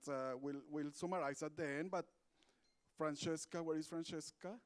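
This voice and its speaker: A man's voice: on the high side, darkish tone. A man moderating a conference side event, friendly and loose, with fillers and restarts. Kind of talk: meeting moderation. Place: conference room.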